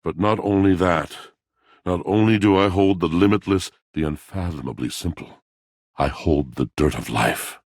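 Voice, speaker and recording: An actor playing a brooding, melancholy washing machine in a video game, a wounded poet with a gravelly rumble and florid, pompous, theatrical delivery. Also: male voice, normal speed, darkish tone, dry recording, studio quality. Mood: grandly poetic. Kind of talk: video game character voice acting.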